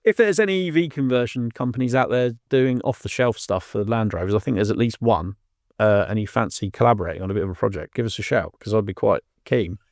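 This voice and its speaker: A man's voice: on the low side, fast, a little mellow, no room sound, studio quality. An English man chatting casually as a podcast host, with a keen, bantering manner. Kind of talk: casual chat.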